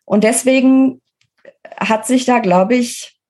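A woman's voice: a little high, slow, dark tone. Analytical woman explaining in interview. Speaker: interviewed guest, book author. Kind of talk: conversational expert interview.

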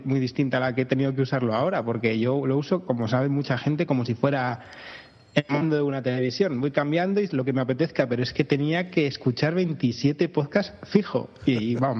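Spanish man on dull, echoing recording. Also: mid-range voice. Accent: Spain. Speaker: podcaster, guest. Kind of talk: casual chat. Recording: dull sound with long reverb.

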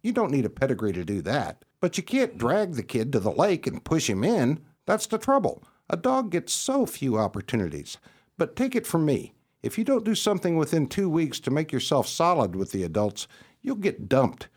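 A man's voice: fairly high pitch, wildly swooping pitch, moderate pace, darkish tone. A man playing a frank, friendly, streetwise dog with a folksy manner in a radio play. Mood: frank, advising. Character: streetwise, frank, friendly older-hand dog giving advice.

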